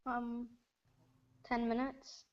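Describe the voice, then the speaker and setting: A young woman guest giving brief, hesitant answers with many pauses. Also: a little high, monotone. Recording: faint, distant, dull audio.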